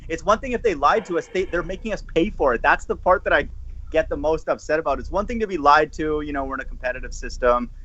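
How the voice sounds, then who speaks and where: A man's voice: on the high side, bright, forceful tone. A man on an online panel who talks emphatically and agrees often. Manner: emphatic, quick to agree and laugh. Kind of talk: casual panel chat. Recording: dull lo-fi stream audio.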